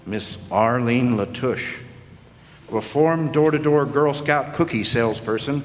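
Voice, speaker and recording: An actor playing a wisecracking hard-boiled detective in a noir radio drama, deadpan and full of slang. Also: male voice, mid-range voice, a little hiss or hum, close to an everyday voice. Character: wisecracking hard-boiled male private detective narrating his case. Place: large hall, live stage performance. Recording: echoey hall, live stage performance.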